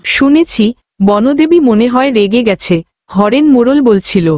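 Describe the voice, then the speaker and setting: Woman playing a fairly high-voiced villager. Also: expressive, unhurried, a little mellow, no room sound, studio quality. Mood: gossiping, concerned. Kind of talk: moral story cartoon acting.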